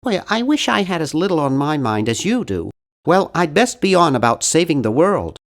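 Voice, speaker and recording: A man's voice: on the high side, very animated, normal speed. An actor playing a nerdy, earnest, awkward young man in an adventure game, with a geeky, fussy, wryly polite delivery. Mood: wry, chatty. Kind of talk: scripted video game voice lines.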